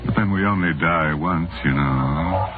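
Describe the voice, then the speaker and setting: An actor playing a sardonic, macabre host of a 1940s radio horror show with a mock-sinister manner. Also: male voice, low voice, a little slow, noisy background. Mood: gleefully macabre. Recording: vintage 1940s radio with music.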